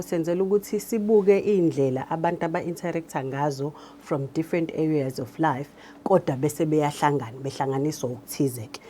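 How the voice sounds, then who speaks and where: Low, mellow South African woman explaining. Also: a little hiss or hum. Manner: explanatory, switches between Zulu and English. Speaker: radio drama director. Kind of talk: interview talk.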